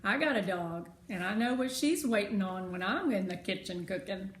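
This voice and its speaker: A woman reading a children's novel aloud as host, with expressive storytelling narration. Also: medium pitch, moderate pace. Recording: roomy echo, faint background noise.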